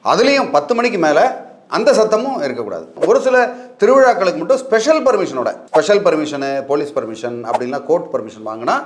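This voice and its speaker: A man's voice: on the high side, sing-song, fairly quick. A man with a Tamil Nadu accent giving informal legal advice as an expert, emphatic and colloquial, mixing in English words. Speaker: legal expert guest answering questions. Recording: echoey, reverberant room.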